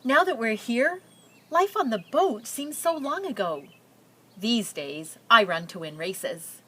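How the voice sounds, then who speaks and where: A woman reading a picture book aloud, telling the story and pausing with simple explanatory asides for young listeners. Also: fairly high pitch, lively intonation, bright, forceful tone, slightly rough.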